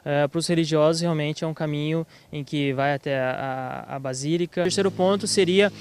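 A man's voice: fairly high pitch, steady tone, a little fast, a little hiss or hum. A man with a Brazilian accent giving a reflective interview answer outdoors into a reporter's handheld mic. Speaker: cyclist interviewee. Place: outdoors by a road.

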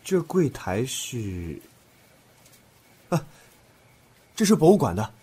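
A male actor voices a thoughtful young man working through clues in an audio drama. His voice is lowish and mellow, earnest, with very varied intonation. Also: very animated, slow, husky, full character voice. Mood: curious. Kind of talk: Chinese audio drama acting.

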